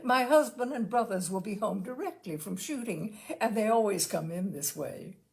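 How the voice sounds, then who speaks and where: A woman voicing a brisk, gushing middle-aged hostess who chatters cheerfully in a storybook reading. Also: medium pitch, expressive, gravelly, darkish tone.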